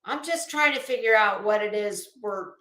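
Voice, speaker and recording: A woman giving rambling, casual online commentary in a sarcastic, mocking manner, with halting delivery and drawn-out pauses. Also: mid-range voice, a bit bright. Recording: roomy, echoey sound.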